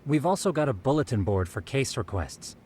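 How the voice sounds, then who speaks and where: A man's voice: mid-range voice, big pitch swings, brisk, darkish tone, slightly rough. An actor playing a polite, precise and eager male associate in an English video game dub, formal and explanatory. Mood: helpful.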